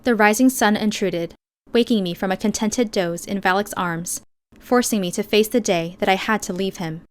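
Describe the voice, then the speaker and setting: A woman narrating an audiobook in the first person, with measured, expressive storytelling. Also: mid-range voice.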